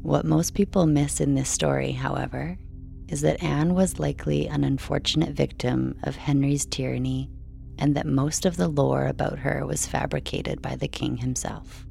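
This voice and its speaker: A woman reading a scripted bedtime narration in a calm, measured, soothing way. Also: a bass voice, vocal fry. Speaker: narrator, bedtime story reader. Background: faint music.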